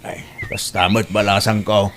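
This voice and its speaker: A man's voice: fairly low pitch, level tone, moderate pace, a bit bright, natural voice. A man playing a stern, worried older village father in an audio drama. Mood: worried, fatherly.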